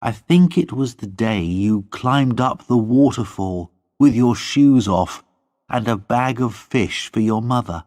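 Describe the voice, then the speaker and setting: A man voicing an earnest, spirited young noblewoman deeply in love. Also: expressive, measured pace, a little mellow, close to an everyday voice. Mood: serious, tender. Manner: raised, pleading and earnest.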